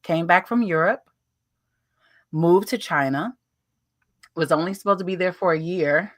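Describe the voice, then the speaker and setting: An American woman in her late thirties, a guest telling her travel story in a casual interview, animated with playful asides and laughter. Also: lowish voice, unhurried, dry recording, clean studio sound. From United States.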